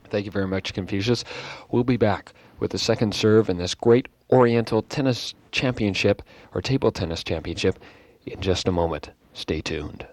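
A man with a very deep voice, hosting a mock sports broadcast. The sound is close and dry, and he uses a formal announcer tone while stumbling over and correcting his wording. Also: moderate pace, few pauses, dry recording. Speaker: sportscaster in a sketch.

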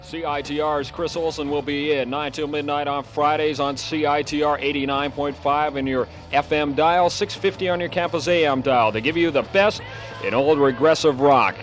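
A man's voice: fairly high pitch, steady tone, normal speed. Punchy male radio promo announcer. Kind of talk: show promo read. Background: music.

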